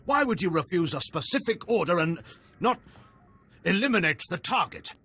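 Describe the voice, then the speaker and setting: Refined, exasperated noble father, husky and animated. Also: sits high, normal speed, exaggerated voice. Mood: formal. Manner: formal, exasperated. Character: worried aristocratic Sith father, formal.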